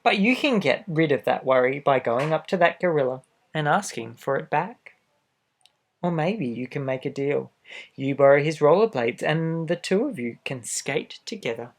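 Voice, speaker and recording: A man reads a picture book aloud to young children in a gentle, expressive way, adding little asides straight to the listener. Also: a little high, normal speed. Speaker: storybook reader for children.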